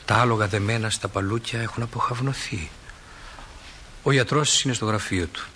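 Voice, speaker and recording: A man playing a genial stage manager who narrates small-town life in a radio play like an unhurried storyteller. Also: fairly low pitch, bright, forceful tone, a little hiss or hum. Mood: calm, wry.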